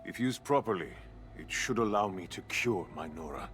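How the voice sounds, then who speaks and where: A man's voice: fairly low pitch, lively intonation, normal speed, a bit bright, slight background noise. A man playing a cold, obsessive scientist villain in a video game, speaking in a formal, curt and solemn manner.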